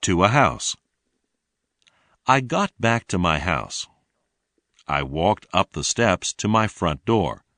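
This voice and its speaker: A man reading a scripted English lesson in a deliberate, clearly enunciated way. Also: deep voice, sing-song, a bit bright, no echo. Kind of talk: scripted read-along narration.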